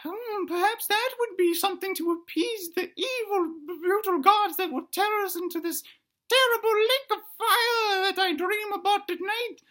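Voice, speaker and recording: Casting: woman to play very high-pitched baby boy dinosaur, earnest and grandiose, bright comic cartoon delivery. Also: moderate pace. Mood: anguished, scheming. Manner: grandiose, theatrical, old-fashioned phrasing. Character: frightened, earnest little boy dinosaur, pompously self-sacrificing.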